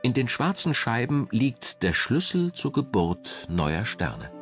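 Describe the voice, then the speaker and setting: A man reading scripted documentary narration in a measured, explanatory manner. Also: medium pitch, sing-song. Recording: music under the voice. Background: background music.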